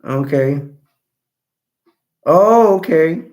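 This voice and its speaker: A man hosting a casual live stream, chatting loosely with viewers and reading their comments aloud, his voice starting to go hoarse. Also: fairly high pitch, expressive, very slow, a little mellow. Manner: rambling, self-correcting, chatty. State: voice going hoarse.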